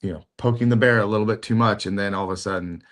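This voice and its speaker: Deep-voiced man chatting, halting and relaxed. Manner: halting, many fillers and restarts. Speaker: podcast co-host. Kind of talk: casual chat.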